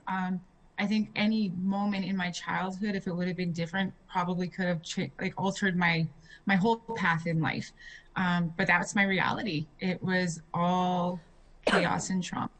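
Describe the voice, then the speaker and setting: Hesitant woman guest with a flat delivery. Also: on the low side, moderate pace, poor audio. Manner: hesitant, reflective. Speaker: guest, book author. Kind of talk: interview answers.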